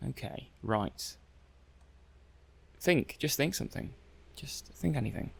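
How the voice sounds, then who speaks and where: A man's voice: mid-range voice, animated, slow pace, creaky, husky, heavily acted. A man playing a mild-mannered, puzzled robot-testing technician in an ASMR roleplay, hesitant and muttering to himself. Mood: businesslike. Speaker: roleplay performer.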